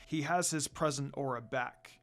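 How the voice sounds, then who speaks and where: A man plays a fatherly dog demon spirit from a fantasy story. The voice drops low and stays calm, measured and solemn, with kindly gentleness. Music plays underneath. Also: close to an everyday voice. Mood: solemn, reassuring.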